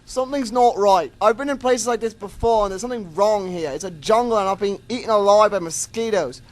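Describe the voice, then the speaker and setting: A man's voice: high-pitched, a little fast, lightly acted. A man playing a tense, wary soldier in an amateur radio war drama, urgent and uneasy. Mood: uneasy, suspicious.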